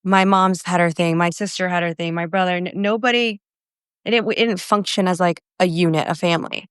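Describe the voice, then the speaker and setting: A woman in her thirties from Phoenix, a podcast guest telling her life story in an earnest, halting way, often correcting herself. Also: fairly low pitch, fairly even intonation, clear, resonant tone, dry recording, clean studio sound. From Phoenix, Arizona, USA. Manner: earnest, halting, with self-corrections and soft laughs. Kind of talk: personal testimony in an interview.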